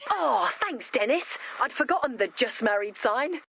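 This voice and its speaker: High-voiced chirpy young builder. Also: female voice, expressive, normal speed, creaky, fairly bright tone, breathy edge, heavily acted. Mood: practical. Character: chirpy, confident, quirky young female builder. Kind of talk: video game character dialogue.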